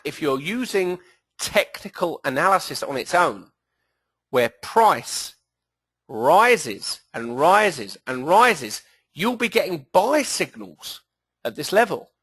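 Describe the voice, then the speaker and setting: A man's voice: a little high, fairly bright tone, slightly rough, no echo. British man presenting a trading webinar. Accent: British English. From England. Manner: enthusiastic, emphatic, frequent fillers.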